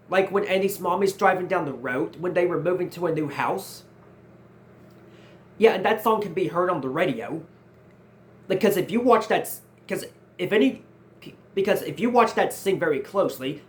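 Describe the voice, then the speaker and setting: Young man, 19, high for a male voice, earnest Q&A host who restarts his sentences. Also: a little hiss or hum. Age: 19, nearly 20. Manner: earnest, repeats and restarts phrases often. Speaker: channel host answering viewer questions. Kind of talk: casual Q&A vlog.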